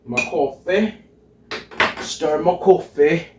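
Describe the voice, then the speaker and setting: A man's voice: fairly high pitch, takes it slowly, recorded from a distance, background noise. A young man in drag hosting a home cooking vlog, bubbly and giggly, swearing often as he tastes his food. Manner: bubbly, giggly, swears often, puts on a mock Irish lilt. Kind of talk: casual cooking vlog chat. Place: home kitchen, indoors. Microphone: camera mic from a few feet away. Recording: roomy kitchen echo, off-mic.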